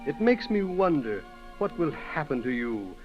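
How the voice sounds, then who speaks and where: Slow, solicitous gentleman, old radio. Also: a little high, sing-song. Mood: grave. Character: solicitous, sombre gentleman friend of an inventor. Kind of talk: 1930s radio acting. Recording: dull 1930s radio recording, music behind.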